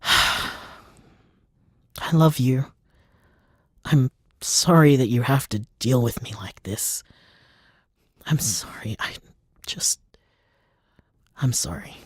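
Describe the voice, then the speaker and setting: An upset man in an intimate audio drama, speaking in a light voice that rises and dips widely. He is emotional and quick to swear, turning his hurt on himself, and he takes his time over the words. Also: mid-range voice, animated, husky, no room sound, whispered, studio quality. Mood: upset, apologetic. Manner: emotional, sweary, self-reproaching. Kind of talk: naturalistic audio drama acting.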